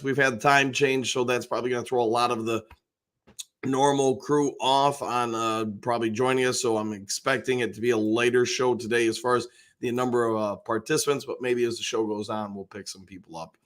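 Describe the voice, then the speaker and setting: An older man hosts a live stream from home in a hoarse, worn voice. He talks in a loose, folksy ramble, full of "uh" and restarts, chatting easily with his viewers. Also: mid-range voice, a bit bright. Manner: rambling, folksy, lots of fillers. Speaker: host. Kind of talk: casual live-stream chat.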